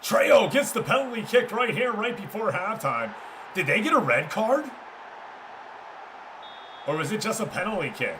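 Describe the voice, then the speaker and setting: A man's voice: sits high, very animated, moderate pace, long pauses, noisy background. A man hosting a livestream, giving casual game commentary and chatting informally with viewers. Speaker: livestream host.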